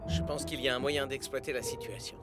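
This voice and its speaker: An actor playing a sensible, wry young soccer player in a cartoon dub, talking casually. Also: male voice, mid-range voice, steady tone, fast talker, music in the background, natural voice. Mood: reasoning. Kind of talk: French cartoon dub acting.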